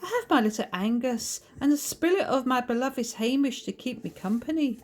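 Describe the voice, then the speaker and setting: A woman voicing a blunt, plain-spoken older Scottish adventurer with a brisk, abrupt manner in a storybook reading. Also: high-pitched, animated, voice pushed up. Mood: cheerful, plain-spoken.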